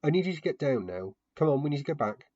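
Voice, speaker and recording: A man playing a kindly, anxious man minding children in a fantasy story, hesitant and gently reassuring, in a muffled home recording. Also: fairly high pitch, sing-song, quick pace, no echo, voice pushed up. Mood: calling out.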